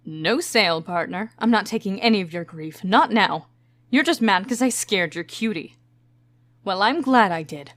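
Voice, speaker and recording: A woman's voice: mid-range voice, expressive, normal speed, fairly bright tone, no room sound, clean studio sound, lightly acted. A woman playing a sultry, possessive, jealous antiheroine lover in a comic dub, with a theatrical manner. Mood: jealous, scornful.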